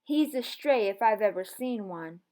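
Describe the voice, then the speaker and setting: A woman voicing a thoughtful, reserved preacher father, measured and gruffly gentle, in a storybook. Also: mid-range voice, lively intonation, natural voice. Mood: relenting.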